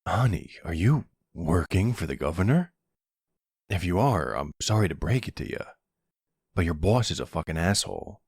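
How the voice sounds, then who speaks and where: A man's voice: darkish tone, no echo, clean studio sound, exaggerated voice. A man playing a weary, exasperated but courteous mafia boss in an ASMR audio roleplay, with wry, colloquial delivery. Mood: apologetic. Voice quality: deep, smooth, gravelly creak. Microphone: close, dry microphone.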